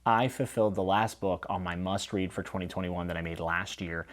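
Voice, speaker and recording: A man with a fairly deep voice hosts a casual book wrap-up. He speaks informally and with enthusiasm, putting emphasis on his opinions as he chats to the viewer. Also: steady tone, a little fast. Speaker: book review channel host.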